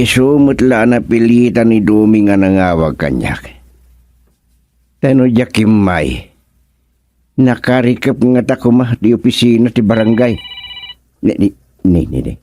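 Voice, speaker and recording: A man playing a flustered older village councilman in an Ilocano radio comedy, muttering and exclaiming with drawn-out words in an Ilocano accent. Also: medium pitch, animated, mellow, dry recording. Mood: grumbling, musing.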